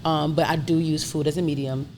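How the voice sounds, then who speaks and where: A woman's voice: deep voice, little pitch movement, brisk. A woman in her thirties from Brooklyn, talking openly and animatedly as an interview guest, laughing often. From Brooklyn, New York. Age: 30s. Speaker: guest, chef and entrepreneur. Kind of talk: candid conversational interview. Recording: noisy background ambience.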